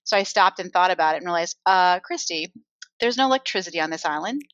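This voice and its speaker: An American woman, a guest author and coach, answering interview questions in a reflective, unhurried way with many fillers. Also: on the low side, expressive, a bit bright, no echo, dull audio. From United States.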